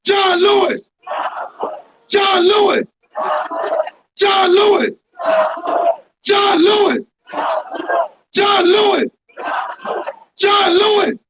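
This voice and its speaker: A man at an outdoor street protest, shouting chants and leading the crowd in call-and-response. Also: very high voice. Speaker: protest rally speaker. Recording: loud crowd, noisy outdoor rally.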